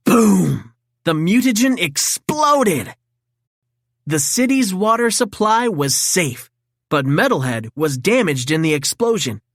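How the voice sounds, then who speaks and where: A woman reading a children's story in an expressive, dramatic read-aloud style. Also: fairly low pitch, slow pace, no room sound, clean studio sound. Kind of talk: scripted storybook narration for children.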